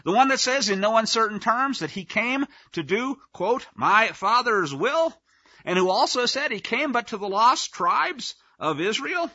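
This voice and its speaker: Male preacher, high lively pitch, folksy sarcastic teaching, dry close sound. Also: a little slow, no room sound, muffled recording. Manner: folksy, conversational, sarcastic asides. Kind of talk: informal teaching sermon.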